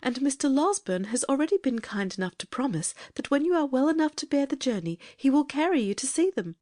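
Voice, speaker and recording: Casting: woman voicing a sweet, lilting young lady, gentle and kind, audiobook. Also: sits high, big pitch swings, brisk, dry recording, studio quality, voice pushed up. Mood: kindly. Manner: warm, gentle.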